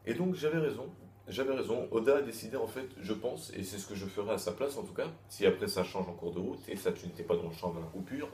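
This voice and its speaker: A man talks through a review in a loose, repetitive way, stumbling over restarts and dropping crude slang. His voice sits a bit low and sounds dark and mellow. The room is echoey, with a faint hiss behind him. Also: animated, fast. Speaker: manga chapter reviewer. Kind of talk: casual rambling review.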